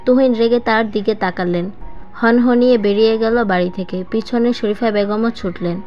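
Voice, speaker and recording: A woman's voice: fairly high pitch, normal speed. A woman reading a serialised romantic novel aloud as scripted story narration. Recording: muffled lo-fi voice track with music. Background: background music.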